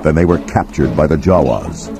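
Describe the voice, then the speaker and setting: A man gives dramatic, scripted storybook narration. His voice is very deep and moves widely in pitch, with music clearly playing underneath. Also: animated, moderate pace.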